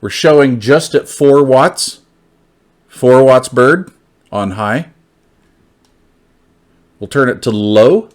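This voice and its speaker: A man's voice: mid-range voice, takes it slowly, pauses often. A man reviewing gear hands-on in a casual, informal, folksy way, often repeating his phrases.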